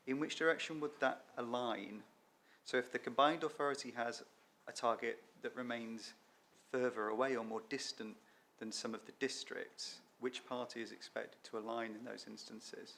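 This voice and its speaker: A man committee member who asks a measured, precise question. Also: medium pitch, steady tone, creaky, bright, forceful tone, slight background noise.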